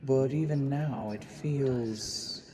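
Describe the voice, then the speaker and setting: A man playing a watchful, brooding assassin posing as a noble, narrating deliberately with dramatic pauses in a fantasy audio drama. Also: medium pitch, a crowd in the background. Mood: tense, awed. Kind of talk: dramatic first-person scripted narration. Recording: long reverb, music underneath.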